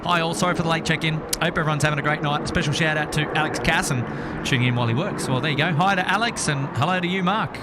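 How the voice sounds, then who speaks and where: A man's voice: on the high side, fast talker, hardly any pauses. An Australian man hosting a live plane-spotting stream with casual, jovial, chatty commentary and banter. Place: outdoors near an airport. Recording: outdoor airport ambience, clear vehicle noise.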